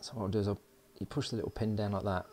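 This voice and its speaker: A man casually talking through hands-on electronics work, unhurried and thinking aloud. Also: fairly low pitch, steady tone, warm tone, a little hiss or hum. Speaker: host of an electronics build video. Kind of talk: casual hands-on tutorial narration. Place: indoors at a workbench.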